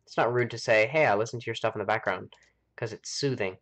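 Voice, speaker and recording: A man's voice: on the low side, quick pace, a bit bright, slight background noise. An American man hosting a game live stream, chatting casually and rambling as he thinks aloud. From United States. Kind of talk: casual chat while playing a game.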